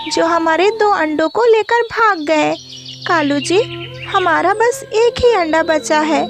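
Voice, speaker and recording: A woman's voice: extremely high pitch, pitched above the performer's own voice, heavily acted. A woman voicing an anxious, devoted mother songbird in a cartoon moral story, with a tender, pleading manner.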